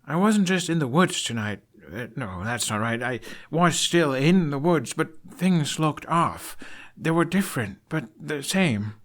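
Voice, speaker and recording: An earnest young prince , acted out by a male reader, in a dramatized story. The voice is raised high and pushed loud, anxious and urgent. It hesitates, then pleads. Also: animated, unhurried, hardly any pauses. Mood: hesitant, urgent. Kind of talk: dramatized fan fiction reading.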